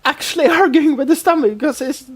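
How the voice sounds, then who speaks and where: A woman's voice: sits high, quick pace. A woman co-hosting a podcast in a casual recap chat, telling the story and acting out quotes as she goes. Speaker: podcast co-host.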